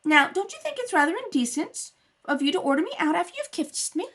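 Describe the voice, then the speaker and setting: A woman's voice: very high-pitched, sing-song, fast talker, a bit bright, heavily acted. A woman playing a flighty, lovesick, spoiled young society girl in a radio comedy, with a gushing, childlike, pleading manner. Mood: coy chatter. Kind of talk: 1930s-style radio comedy acting. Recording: home recording with music.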